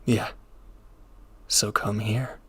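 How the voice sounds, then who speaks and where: A man playing a reformed villain turned protective lover in an intimate audio roleplay, with a gravelly, breathy voice. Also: lowish voice, flat delivery, very slow, pushed and bright, heavily acted. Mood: soft, devoted. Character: reformed male villain, tender protective lover, gruffly firm.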